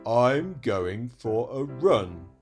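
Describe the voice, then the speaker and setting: Man playing a slow, deliberate, determined tortoise. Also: mid-range voice, very animated, faint music behind. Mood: steady, earnest. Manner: deliberate, drawn-out. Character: slow, steady, determined male tortoise in a children's fable. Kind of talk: storybook read-aloud character voice.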